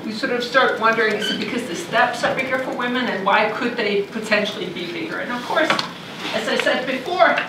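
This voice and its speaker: Middle-aged woman, university lecturer, conversational, very roomy hall sound, distant noisy recording. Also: a little high. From Denmark. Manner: conversational, thinking aloud with fillers. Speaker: visiting lecturer. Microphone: room mic far from speaker.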